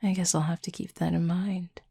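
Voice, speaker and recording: Woman voicing a husky, flirty werewolf, deeply gravelly. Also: flat delivery, dark tone. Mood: flirty teasing. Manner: dry, teasing, unhurried, wordy. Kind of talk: intimate audio roleplay, late-night chat.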